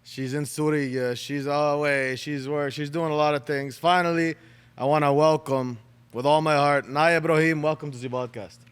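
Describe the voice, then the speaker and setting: A man's voice: on the high side, fairly even intonation, moderate pace, clean tone, in a large hall. A Canadian man hosting a podcast interview, eager and casual, asking long rambling questions with restarts. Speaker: podcast host.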